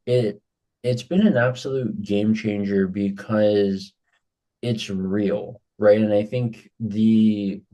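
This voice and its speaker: A man's voice: fairly low pitch, steady tone, slow pace, warm tone. A man from Hawaii, a guest on a business podcast, talking casually and often restarting his sentences. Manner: conversational, repeats words when restarting. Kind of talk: casual interview chat.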